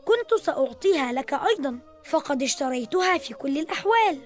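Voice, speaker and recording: Very high-pitched caring boy. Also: expressive, fast talker, soft background music. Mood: generous, caring. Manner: earnest, considerate. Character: caring, dependable boy, brother in a cartoon family. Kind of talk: cartoon dialogue in formal Arabic.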